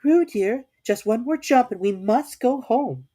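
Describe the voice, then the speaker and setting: Storybook mother kangaroo, voiced by a woman: high, doting, preoccupied, sing-song with wide swings in pitch. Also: lively intonation, unhurried, warm tone. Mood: distracted, motherly. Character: fussy motherly female kangaroo, gently preoccupied.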